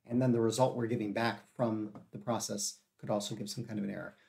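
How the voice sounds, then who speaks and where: A man with a cold and a gravelly voice, thinking aloud as he codes live and explains each step. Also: fairly low pitch. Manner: steady explaining with frequent uh and self-corrections. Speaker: live coding streamer.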